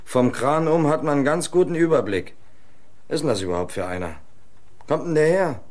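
An actor playing a gruff, suspicious, jealous working man in a German radio drama. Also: fairly high pitch, lively intonation, fairly quick, darkish tone, natural voice.

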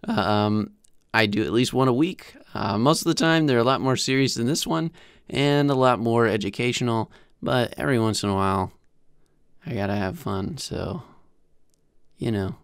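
A man in his thirties narrating a casual software tutorial in an informal, halting, self-deprecating way, close on a desktop microphone. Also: medium pitch, clean tone, dry recording. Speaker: host of a software tutorial channel. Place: small indoor room at home. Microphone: desktop microphone close to the mouth.

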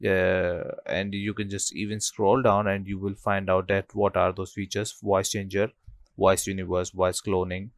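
A man hosting an informal software tutorial, talking through each step in a halting way with habitual filler phrases. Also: sits low, little pitch movement, no echo. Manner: halting, repeats filler phrases like obviously and you know. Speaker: tutorial host.

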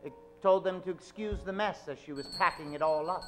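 A man's voice: high-pitched, brisk, music in the background, close to an everyday voice. A man playing a melodramatic narrator of a comic old-time radio mystery, telling the story theatrically. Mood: dramatic. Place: theatre stage.

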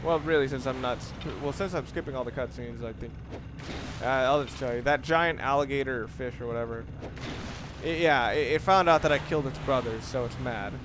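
A man giving casual, off-the-cuff video game commentary, explaining things in a rambling, halting way. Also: mid-range voice. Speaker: let's play gamer. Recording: noisy, game sounds behind the voice. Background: video game sounds of boat and water.

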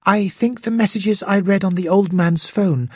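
A man's voice: high voice, darkish tone, husky, no room sound, clean studio sound, voice pushed up. A man voicing a nervous young Japanese man. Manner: halting, pleading.

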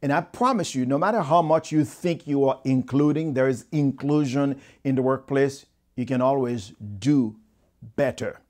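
A man's voice: medium pitch, moderate pace, a little mellow. Earnest accented man speaking to camera. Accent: Haitian. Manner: earnest, gestures with both hands while talking. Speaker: motivational speaker. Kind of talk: direct-to-camera talk.

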